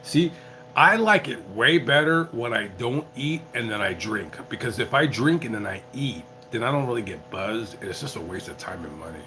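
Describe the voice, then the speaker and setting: A tipsy man live-streaming as a YouTuber, chatting casually in a rambling, halting way full of restarts. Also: mid-range voice, lively intonation, over music, rough recording. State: tipsy from drinking. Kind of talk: casual livestream chat.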